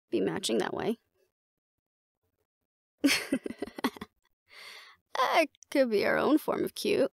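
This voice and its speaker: A woman playing a battle-seasoned, fond and teasing mate in a roleplay audio drama, talking casually and intimately with a gravelly creak and hesitant pauses. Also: mid-range voice, no room sound, studio quality, heavily acted. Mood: playful, fond.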